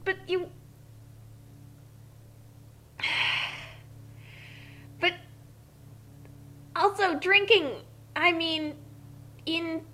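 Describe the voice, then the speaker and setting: A woman comments casually in a high, forceful voice. She sounds exasperated, exclaiming and halting as she searches for words. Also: fairly even intonation. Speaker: co-reader voicing characters and commenting.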